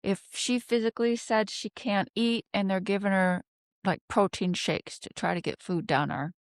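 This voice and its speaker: A woman giving an unscripted tarot reading, talking haltingly as she ponders aloud and poses rhetorical questions. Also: medium pitch, fairly even intonation, no echo. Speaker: tarot card reader, host.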